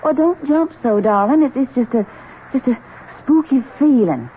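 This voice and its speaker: A coquettish Southern belle. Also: female voice, high voice, lively intonation, normal speed. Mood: coaxing. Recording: narrow-band old radio broadcast with faint hiss.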